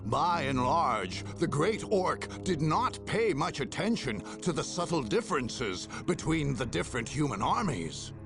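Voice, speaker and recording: An actor playing a grave storyteller, giving measured, dramatic scripted narration for a video game. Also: male voice, a little high, creaky, full character voice. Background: music.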